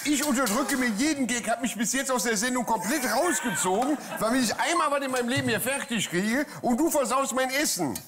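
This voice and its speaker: A man's voice: very high voice, expressive, brisk, hardly any pauses. A middle-aged man hosting a cooking show from a studio kitchen, bantering in a teasing, mock-indignant way. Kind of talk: joking banter while cooking. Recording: studio with clear background noise.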